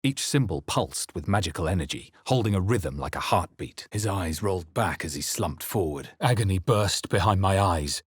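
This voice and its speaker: Low, husky fantasy adventurer. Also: male voice, expressive, normal speed, few pauses, no echo, clean studio sound. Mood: somber. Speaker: player character voice in a video game. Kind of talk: scripted game narration, barks and spell incantations.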